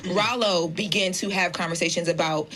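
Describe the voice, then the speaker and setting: A woman's voice: on the low side, fairly even intonation, moderate pace, fairly bright tone, a little hiss or hum. A woman presenting entertainment news in a conversational way, careful and explanatory in how she phrases things. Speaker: radio show news presenter.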